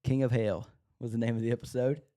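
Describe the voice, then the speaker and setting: Mellow-voiced man chatting on a podcast. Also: mid-range voice, steady tone, quick pace. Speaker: podcast co-host. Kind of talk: casual discussion of a TV show.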